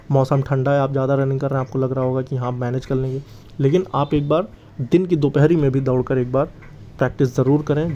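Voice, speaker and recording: Mellow-voiced man explaining, slightly muffled. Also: a little high. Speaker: exam news channel host. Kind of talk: informal explainer addressed to exam candidates. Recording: slightly dull, lo-fi sound.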